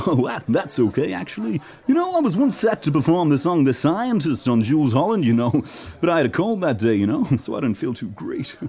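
A man playing a laid-back, friendly British pop star who rambles haltingly and chummily in an amateur radio play. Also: fairly high pitch, big pitch swings, mellow, breathy edge, exaggerated voice. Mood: chummy, rambling.

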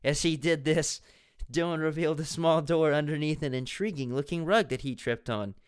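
A young man reads a cheesy children's mystery aloud with mock drama, throwing in sarcastic asides. His voice sits a bit high for a man and comes through smooth and clear. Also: normal speed. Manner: sarcastic, laughing at the text. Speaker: reader of a children's mystery book.

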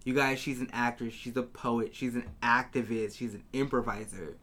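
A woman's voice: rumbling low, fairly even intonation, moderate pace, a bit bright. A woman in her twenties from Downey with a Southern California accent, hosting a casual chat in a gushing, slangy way. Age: 20s. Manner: gushing, slangy, frequent "like" and "you guys". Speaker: podcast host.

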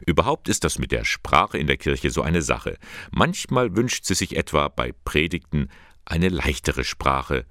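A man presenting a radio magazine segment, reading his script in a calm, measured way. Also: rumbling low. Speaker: radio host.

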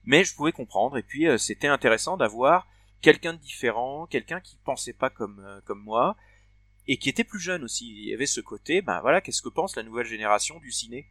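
A man's voice: mid-range voice, animated, a little fast, fairly bright tone, no room sound. A man in his forties co-hosting a casual conversation, talking hesitantly with many fillers and restarts. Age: 40s. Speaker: podcast co-host.

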